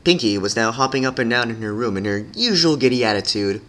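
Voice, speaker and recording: A narrator giving an animated dramatic reading of a fan fiction story. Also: medium pitch, fast talker. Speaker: narrator and voice actor.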